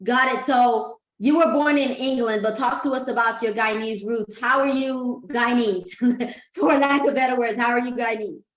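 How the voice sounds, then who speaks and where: A woman's voice: fairly high pitch, fairly even intonation, normal speed. A woman hosting an interview, chatty and enthusiastic as she asks her questions. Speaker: host. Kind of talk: casual interview. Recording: roomy echo.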